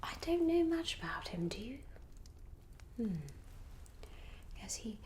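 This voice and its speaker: A woman voicing a genteel, gossipy Regency lady in a soft ASMR roleplay whisper, musing politely and hesitantly. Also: a little high, big pitch swings, slow pace, a little hiss or hum. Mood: polite, pondering. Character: genteel, gossipy young Regency lady planning a matchmaking guest list.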